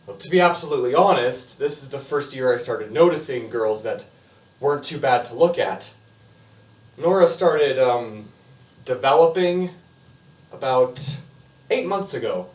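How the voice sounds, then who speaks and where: A man performing a stage monologue as a talkative, confiding teenage boy, with animated delivery and emphatic asides. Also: medium pitch, measured pace, long pauses, lightly acted. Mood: confiding, earnest. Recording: echoey room, far from mic.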